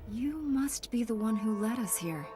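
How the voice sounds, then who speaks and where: A woman's voice: a little high, expressive, normal speed, over music, close to an everyday voice. A woman playing a resolute, principled young knight in a video game, speaking in a composed and earnest way. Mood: determined. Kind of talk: video game cutscene acting.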